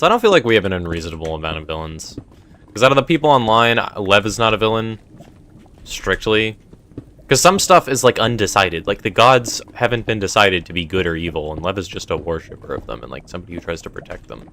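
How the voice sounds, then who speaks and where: Casting: young male streamer, deep voice, strained, rambly chat to viewers, faint noise behind. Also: lively intonation, fast talker, bright, forceful tone. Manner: rambling, reads chat aloud and answers it. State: voice feeling strained. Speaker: streamer running a game server. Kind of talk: casual live-stream chat.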